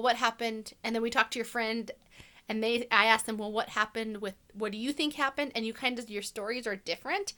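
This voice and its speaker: A woman's voice: on the high side, bright, forceful tone. A woman teacher doing a read-aloud for children, explaining simply and putting questions to her listeners.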